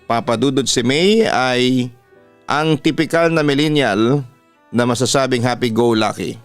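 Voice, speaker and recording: A man's voice: medium pitch, measured pace, clean tone. A man with a Filipino accent, a radio announcer reading a scripted first-person story as host. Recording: music playing behind the voice. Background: background music.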